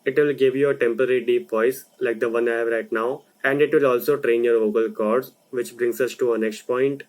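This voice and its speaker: A young man from India who runs a video channel, talking through a voice lesson in a slow, deliberate explainer style. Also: mid-range voice, fairly even intonation, a little mellow, low-quality recording. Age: young adult. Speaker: video creator. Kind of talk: explainer narration.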